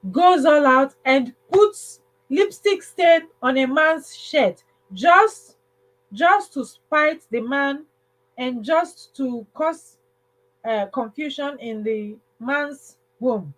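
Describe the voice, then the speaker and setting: A woman's voice: high voice, expressive, slow, rough recording. A woman hosting a casual livestream advice talk, speaking emphatically and repeating phrases for stress. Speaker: livestream host.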